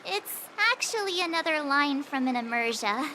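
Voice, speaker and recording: A woman voicing an excitable, earnest teenage girl apprentice in an anime-style game, with music behind her. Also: sits high, normal speed, slight background noise. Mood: excited. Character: bubbly, eager teenage girl archer turned sword apprentice.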